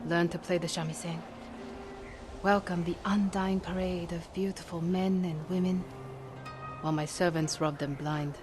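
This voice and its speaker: A woman's voice: lowish voice, fairly even intonation, moderate pace, over music, natural voice. An actress playing a sly, hardened young woman archer in a feudal Japan video game, with a wry, teasing manner. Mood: cool, scheming. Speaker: game character actor. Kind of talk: dramatic video game acting.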